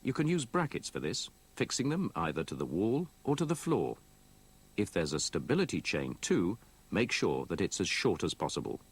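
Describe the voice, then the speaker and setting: Low-voiced male training narrator, slightly husky. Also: sing-song. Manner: measured, explanatory, methodical. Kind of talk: scripted instructional narration. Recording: old VHS tape copy.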